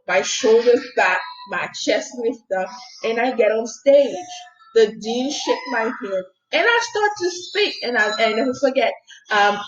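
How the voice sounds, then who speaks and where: A woman healer giving an earnest motivational talk, telling a personal story in a soft, lilting voice and often checking in with "okay?". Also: fairly high pitch, lo-fi sound. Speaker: spiritual healer and mindset coach.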